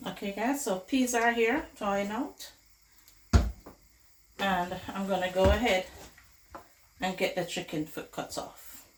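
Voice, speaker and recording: A woman with a hoarse, flu-worn voice, chatting casually to viewers as she cooks in her home kitchen. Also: mid-range voice, measured pace, long pauses, slight background noise. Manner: chatty, addresses viewers as guys. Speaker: cooking vlogger host. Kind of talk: casual cooking tutorial chat. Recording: echoey kitchen with loud clatter. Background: pots, dishes and running water.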